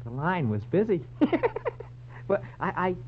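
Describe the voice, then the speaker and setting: An actor playing a scrawny, nervous barfly informant with a stammering, giggly wheedle in an old radio drama. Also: male voice, high-pitched, very animated, a little slow. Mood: sly, nervous. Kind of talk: 1940s radio drama acting. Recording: dull lo-fi old radio with noise.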